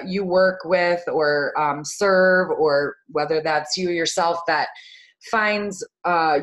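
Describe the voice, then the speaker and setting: A woman's voice: fairly low pitch, fairly bright tone, low-quality recording. A woman presenting a product webinar on a video call, chatty with frequent ums and uhs. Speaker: marketing manager presenting a webinar.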